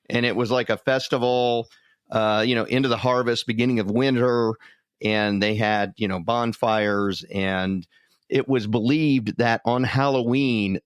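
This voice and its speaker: Guy cohosting a podcast, chatty storyteller, mid pitched voice, really dry sound. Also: dry recording. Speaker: podcast co-host. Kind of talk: casual chat and storytelling.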